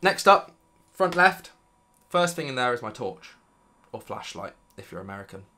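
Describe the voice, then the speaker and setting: A British man in his thirties with a croaky voice from illness, chatting enthusiastically about his gear to camera in a home studio. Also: mid-range voice, sing-song, moderate pace, bright, forceful tone, a little hiss or hum. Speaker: vlogger. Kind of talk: casual gear review to camera. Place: dim home studio room, indoors.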